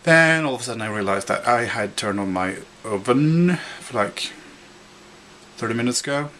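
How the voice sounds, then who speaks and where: A man's voice: mid-range voice, animated, normal speed, fairly bright tone, slight background noise. A young man from Norway with a Norwegian accent, telling a rambling story in a casual vlog, full of fillers, restarts and chuckles. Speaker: vlogger.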